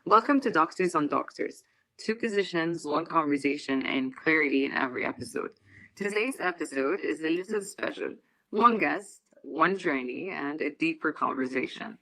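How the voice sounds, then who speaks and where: A young woman in her twenties moderates the interview with a bright, forward voice. The recording is close and dry, with no room around her. Her questions come out earnest and a little halting. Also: low voice, animated, no echo, rough recording. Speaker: podcast moderator, medical student. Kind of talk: scripted intro and interview questions.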